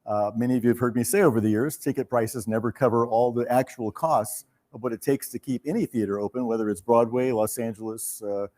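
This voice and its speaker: A man hosting a live benefit evening, speaking to an audience in a measured, halting and courteous way. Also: medium pitch, darkish tone. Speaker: host of a theater benefit evening. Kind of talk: live fundraising appeal to an audience.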